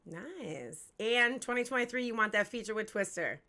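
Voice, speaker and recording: A woman's voice: fairly high pitch, animated, normal speed, bright, forceful tone. A woman in her forties hosting a casual hip-hop interview livestream, chatty, candid and slangy, swearing freely. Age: 40s. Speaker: host of a music review livestream.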